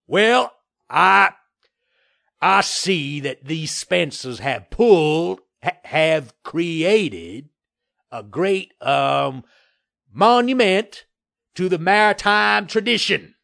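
A man voicing a blustering, bullying land developer in an audiobook story, with a loud, growling, puffing delivery. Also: high-pitched, animated, drawn-out words, gravelly, pitched above the performer's own voice, exaggerated voice. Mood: flustered.

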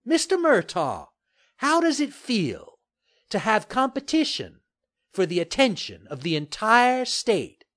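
A man's voice: high-pitched, wildly swooping pitch, unhurried, a bit bright, voice pushed up, heavily acted. A man voicing a cheerful, sly female TV reporter in an audiobook story, with a pointed manner. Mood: reporting.

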